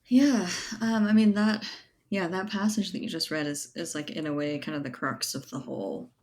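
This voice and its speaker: A woman with a distinctly low voice talks as an author being interviewed. She is casual and reflective, working out thoughts aloud in loose clauses. Faint noise sits behind her. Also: steady tone, moderate pace, a little mellow. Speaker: guest author.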